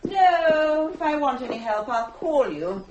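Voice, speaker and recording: An actress playing a brisk, practical, tough wife with a dry, matter-of-fact manner in a British drama. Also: female voice, high voice, lively intonation, reverberant, highs cut off, noisy background. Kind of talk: British radio drama acting.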